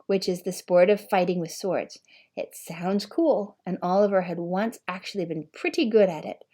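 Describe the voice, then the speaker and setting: A woman's voice: mid-range voice, dark tone. A woman narrating a storybook expressively as an audiobook reader.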